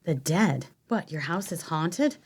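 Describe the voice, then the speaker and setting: A woman plays a feisty, sultry dinner date in a stage radio play. She draws out her words slowly with big swings in pitch, blunt and dramatic. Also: lively intonation, breathy edge, full character voice. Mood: uneasy, irritated.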